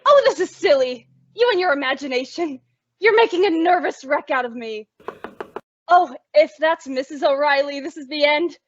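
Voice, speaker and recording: A woman's voice: high voice, expressive, normal speed, no echo. A woman playing a sensible, exasperated roommate with a sardonic, dramatic delivery in old-time radio comedy. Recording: dull lo-fi radio-style recording.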